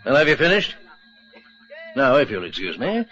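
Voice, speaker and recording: Animated, blustering colonial hunter. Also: male voice, mid-range voice, moderate pace, faint music behind. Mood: scornful, impatient. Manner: brusque, dismissive, swaggering. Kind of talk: radio drama acting. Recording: dull lo-fi old radio recording.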